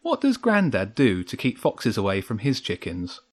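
A man's voice: fairly low pitch, huge pitch swings, moderate pace. A man voicing a thoughtful, cheeky young boy in a children's audiobook. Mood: curious.

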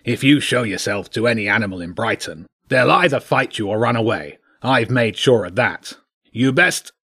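A man voicing a gruff, commanding badger king with a husky voice and a terse, imperious manner in an audiobook. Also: medium pitch, normal speed, pushed and bright. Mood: menacing. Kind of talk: audiobook character voice.

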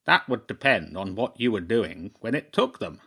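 A man's voice: lowish voice, big pitch swings, moderate pace, vocal fry, bright, forceful tone, breathy edge, exaggerated voice. A man voicing a stern, shrewd old crow chairman in a storybook, speaking formally and pompously. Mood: stern, probing. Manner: pompous, clipped, formal pronouncements.

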